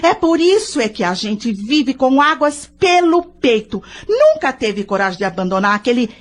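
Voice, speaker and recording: Actress as high-pitched nagging Brazilian wife. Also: female voice, big pitch swings, moderate pace. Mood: bitter, reproachful. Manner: scolding, dramatic, exclamatory. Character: nagging, indignant wife and mother deep in debt. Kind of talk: audio soap opera acting.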